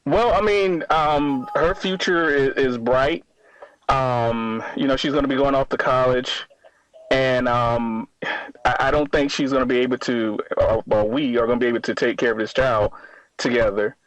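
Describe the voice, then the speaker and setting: A man in his thirties speaks as a caller to a radio show. His voice is mid-pitched and very dry, without room sound. He answers hesitantly and defensively, often stammering and restarting. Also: steady tone, moderate pace, a bit bright, no room sound, poor audio. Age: 30s. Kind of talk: spontaneous phone-in conversation.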